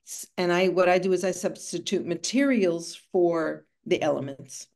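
A woman's voice: on the low side, normal speed, darkish tone. Cuban-born woman author on a video call. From Cuba. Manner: thoughtful, storytelling, frequent asides. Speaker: author and art conservator. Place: home room with bookshelves.

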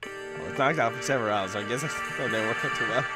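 A let's player giving excitable, giggly gameplay commentary, often breaking into shrieks and laughter while reading a visual novel aloud. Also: medium pitch, quick pace, over loud music, rough recording.

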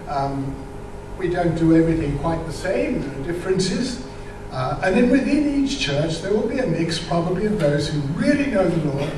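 An elderly man speaks to a church gathering in an informal, explanatory way, with some hesitation. His voice sits quite high for a man. The recording is very echoey, with clear background noise. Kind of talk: informal church talk with slides. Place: large church hall with audience, indoors. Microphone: microphone on a stand. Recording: echoey hall, distant mic, noisy.

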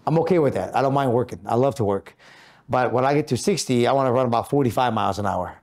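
A man's voice: mid-range voice, fast talker, darkish tone. A man in his fifties talking about goal setting on a podcast in an office meeting room, in an earnest coaching manner full of rhetorical questions. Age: 50s. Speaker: podcast co-host. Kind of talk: motivational podcast talk.

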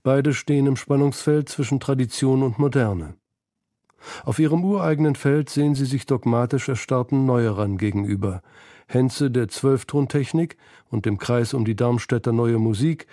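A man's voice: medium pitch, normal speed, warm tone, no echo, clean studio sound. A man reading scripted narration for a documentary feature.

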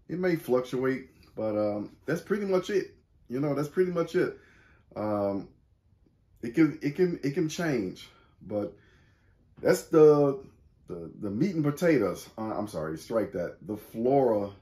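Folksy joking middle-aged man, roomy sound. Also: fairly low pitch. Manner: joking, folksy, relaxed with slang. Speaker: church cooking segment host. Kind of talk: casual church cooking chat. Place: living room at home, indoors. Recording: roomy echo.

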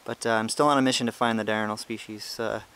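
A young man with a slightly low voice talks through his outdoor trail hike in a loose, chatty way, full of ums and restarts. He is recorded on the handheld camera he carries while walking and filming. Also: quick pace, smooth. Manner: relaxed, chatty, explaining with many fillers. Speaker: ant-keeping channel host. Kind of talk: casual hiking vlog narration. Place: outdoors on a brushy hiking trail.

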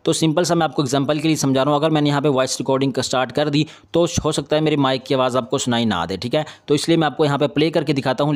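Man, mid-pitched voice, tutorial host, informal step-by-step instruction, repeated confirming tags. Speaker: video editing tutorial host.

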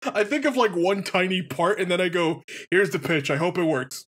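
A man with a husky voice co-hosting a let's play with casual, self-deprecating joking commentary. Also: sits high, normal speed, a bit bright.

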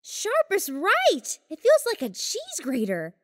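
High voice, swooping intonation, eager teenage monster girl, acted by a woman, naive, gushing, audio drama. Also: huge pitch swings, slow, heavily acted. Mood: curious, fussy.

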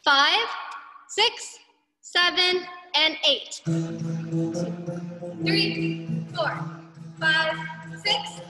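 A high-pitched woman teaching dance over a video call, counting out the beats. She sounds upbeat and encouraging, and dance music plays clearly behind her. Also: very slow, pauses often, poor audio. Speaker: dance instructor. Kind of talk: leading an online dance class.

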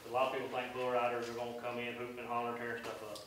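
Man, bull-riding event organizer, folksy, rambling; flat, echoey meeting-room sound from a distance. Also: mid-range voice, very fast, a little hiss or hum. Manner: folksy, rambling with many fillers. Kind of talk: public comment at council meeting. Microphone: far room camera.

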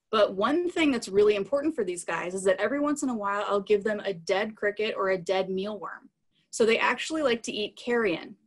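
A woman in her thirties giving an informal live science lesson, explaining in plain, simple words from a small room over a video call. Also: mid-range voice. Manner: explanatory, plain-spoken, addressing children simply. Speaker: science educator presenting live animals. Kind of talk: informal live educational talk with audience questions. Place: small room at home, indoors. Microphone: computer mic on a video call.

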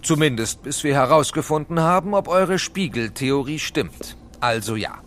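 An actor playing a curt, commanding police chief in a children's radio play, giving formal, clipped orders. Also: male voice, fairly high pitch, expressive, normal speed, fairly bright tone. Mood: curt, considering.

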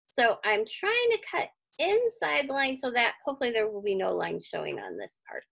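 High, animated woman teaching crafts. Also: normal speed, vocal fry. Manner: chatty, thinking aloud, reassuring. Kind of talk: casual step-by-step craft tutorial, addressed to children. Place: indoor room at home.

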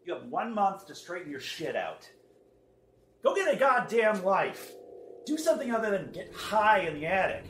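Angry older father, wildly swinging pitch actor. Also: very animated, normal speed, a bit bright, distant mic. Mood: exasperated anger. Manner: gruff, scolding. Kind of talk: audio drama acting. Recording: echoey room, off mic, faint noise.

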